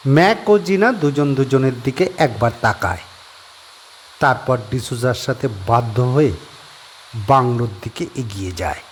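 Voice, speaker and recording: A man narrating a horror audio story with expressive, suspenseful storytelling. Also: mid-range voice, measured pace, a little hiss or hum. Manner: expressive storytelling with rising and falling tension.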